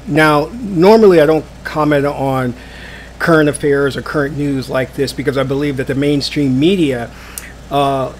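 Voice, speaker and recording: A man hosting his own live online broadcast, sounding stuffy from a cold and talking in a halting way with many fillers and restarts. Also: a little high, slight background noise. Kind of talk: casual live commentary. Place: indoors, warm room. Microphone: adjustable microphone.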